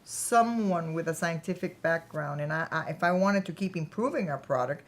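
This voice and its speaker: A woman's voice: deep voice, lively intonation, normal speed. A woman playing a proud, ambitious, chatty founder of her own company in a staged play reading. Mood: enthusiastic, candid.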